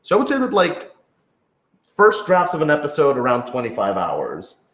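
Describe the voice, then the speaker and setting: A man's voice: fairly high pitch, wildly swooping pitch, moderate pace, fairly bright tone. A man in a casual Q&A chat, explaining things in a rambling way full of filler words. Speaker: writer and audio editor of an audio drama. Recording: roomy, echoey room sound.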